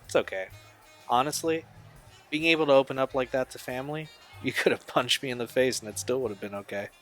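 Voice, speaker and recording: A man's voice: mid-range voice, fast, fairly bright tone, soft background music, lightly acted. A man playing an easygoing, supportive young friend in an audio drama, speaking casually. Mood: friendly, casual. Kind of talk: audio drama dialogue, naturalistic amateur acting.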